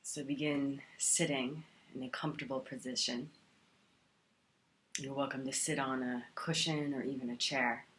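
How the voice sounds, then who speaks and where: A woman teaching a guided meditation in a calm, soothing manner, leaving long pauses between phrases. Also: rumbling low.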